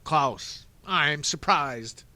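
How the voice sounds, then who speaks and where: A man's voice: a little high, lively intonation, bright, forceful tone, pitched above the performer's own voice, heavily acted. A man voicing Stefano, a sneering theatrical villain. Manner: theatrical, drawn-out, menacing. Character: sneering scheming villainous man, mock-polite.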